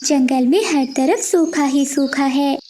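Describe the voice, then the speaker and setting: Woman playing a small female sparrow, high, soft-toned, earnest, kind, faint background noise. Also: measured pace. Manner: earnest, pleading. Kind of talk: animated children's moral story dialogue.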